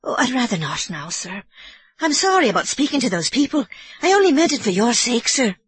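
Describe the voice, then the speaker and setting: A woman's voice: on the high side, big pitch swings, a little fast, slightly rough, no echo, pitched above the performer's own voice, heavily acted. A woman voicing an anxious elderly housekeeper woman with an Irish brogue, apologetic and deferential. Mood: hesitant. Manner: apologetic, deferential, sir-ing constantly.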